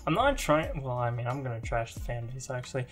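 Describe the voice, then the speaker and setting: A man's voice: mid-range voice, brisk. A young man chatting casually as a co-host on a group podcast. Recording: music behind the voice. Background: background music.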